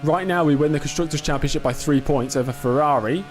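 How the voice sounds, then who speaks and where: A man's voice: a little high, fast talker, soft background music. Chatty young man streaming a race. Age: 20s. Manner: chatty, reads and answers chat, self-talk under pressure. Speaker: live-streaming gamer. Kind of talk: casual live-stream commentary while racing.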